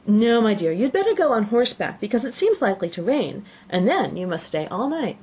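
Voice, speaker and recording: Fussy, scheming storybook matron, performed by a female reader, raised pitch, swooping and exclamatory. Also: very animated, a little fast, dark tone, breathy edge. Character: excitable, scheming, indulgent middle-aged English mother. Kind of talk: audiobook character reading.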